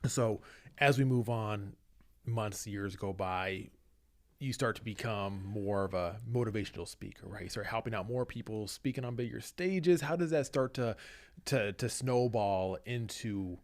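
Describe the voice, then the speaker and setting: Low-voiced podcast host, affirming. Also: male voice, a little slow. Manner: affirming, short agreeing interjections. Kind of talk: casual interview chat.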